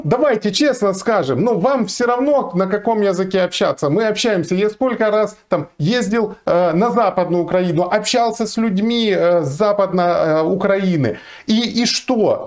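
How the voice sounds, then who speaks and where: Earnest man giving emphatic commentary. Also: high-pitched. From Ukraine. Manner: earnest, emphatic, argumentative with frequent fillers. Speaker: lawyer. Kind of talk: to-camera commentary. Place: small indoor room, seated in armchair. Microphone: fixed camera, seated facing it.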